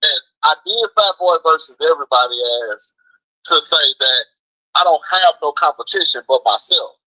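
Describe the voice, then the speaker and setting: Very bright, high-voiced American man calling in. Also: expressive, normal speed, no echo, poor audio. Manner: slangy, emphatic, repeats himself. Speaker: phone-in guest, food truck cook. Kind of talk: casual chat, storytelling. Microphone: phone call.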